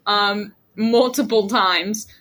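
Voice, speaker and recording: A young woman hosting a podcast, chatting casually and joking, swearing freely, with a shrill voice. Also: fairly even intonation, slow pace, fairly bright tone. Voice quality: self-described shrill voice. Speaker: podcast host. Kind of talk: casual chat among friends.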